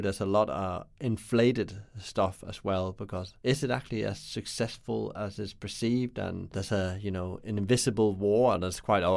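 Deep gravelly-voiced man from Denmark. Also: dry recording, clean studio sound. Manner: halting, searching for words, repeats himself. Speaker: interviewer. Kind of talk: business conversation.

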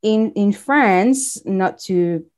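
A woman's voice: medium pitch, extremely slow, clear, resonant tone. Woman from Congo telling her story. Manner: warm storytelling with frequent restarts. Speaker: guest. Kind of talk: casual interview chat.

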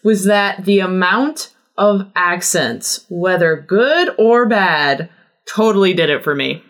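A woman's voice: on the low side, slow, fairly bright tone. A woman guesting on a podcast, chatting casually in a wry, self-deprecating way. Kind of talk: casual chat.

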